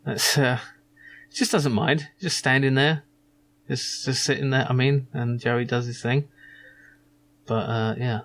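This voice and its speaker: A man with a husky voice hosting a casual video game playthrough, rambling through his asides. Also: medium pitch, fairly even intonation, measured pace, pauses often, fairly bright tone. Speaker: gaming video host. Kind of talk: casual playthrough commentary, reading game dialogue aloud.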